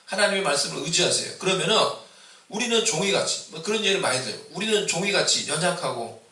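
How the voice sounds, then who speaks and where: A man from Seoul preaching an Easter sermon as pastor, with traces of Busan dialect; he climbs loud and high when he exhorts and keeps asking the congregation questions. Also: expressive, fast. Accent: Seoul Korean with a mix of Busan dialect. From Seoul, Korea. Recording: echoey hall, distant mic.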